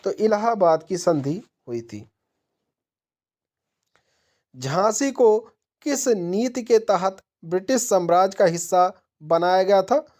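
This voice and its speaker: Mellow-voiced woman teaching. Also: medium pitch, lively intonation, takes it slowly, long pauses. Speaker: exam-prep teacher. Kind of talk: question-and-answer quiz lesson narration.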